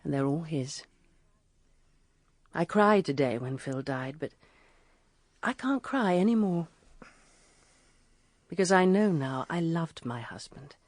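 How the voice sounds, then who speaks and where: An actress playing a grieving, guilt-ridden widow in a radio drama, speaking in a halting, sorrowful, hushed manner. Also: female voice, low-pitched, lively intonation, no echo, exaggerated voice. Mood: numb grief.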